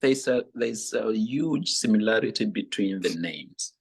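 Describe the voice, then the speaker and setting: A middle-aged man from Kenya, answering interview questions in a relaxed, explanatory way. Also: lowish voice, measured pace, gravelly, breathy edge. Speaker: podcast guest, runner.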